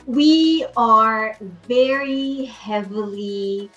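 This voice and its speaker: A woman's voice: fairly high pitch, drawn-out words, a bit bright, highs cut off, faint music behind. A middle-aged woman from Brazil with Portuguese as her first language, speaking as an enthusiastic podcast guest who repeats words for emphasis. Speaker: podcast guest, hotel industry professional. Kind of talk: casual interview chat.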